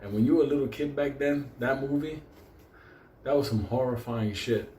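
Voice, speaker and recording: A man's voice: mid-range voice, normal speed, warm tone. A man whose first language is Spanish, telling rambling, profane stories in a casual, joking way. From Puerto Rico or a Puerto Rican community. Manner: rambling, heavy swearing, frequently breaking into laughter. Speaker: storyteller, host. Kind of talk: casual, profane comedic storytelling. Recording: roomy echo with faint background noise.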